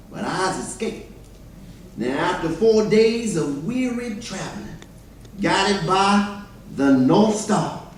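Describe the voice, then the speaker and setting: A man performs a formerly enslaved man telling his story in plainspoken, folksy dialect. His voice sits high and comes from far off in a very echoey hall, with faint noise around it. It is delivered as a stage monologue. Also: darkish tone. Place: church hall, recorded from the audience area. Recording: distant mic in echoey hall, background noise.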